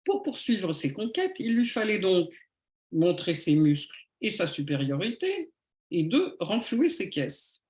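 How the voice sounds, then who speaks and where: A woman giving a prepared public lecture in a didactic, rhetorical manner, with emphatic phrasing and deliberate pauses. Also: medium pitch, sing-song, darkish tone. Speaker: lecturer, conference speaker. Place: indoor room at a public talk. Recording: echoey room.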